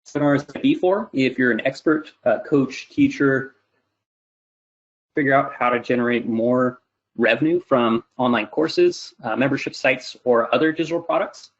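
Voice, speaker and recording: A man's voice: medium pitch, normal speed. A man in his thirties giving an explanatory webinar presentation in an informal way. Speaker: presenter, company CEO. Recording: dull lo-fi webinar audio.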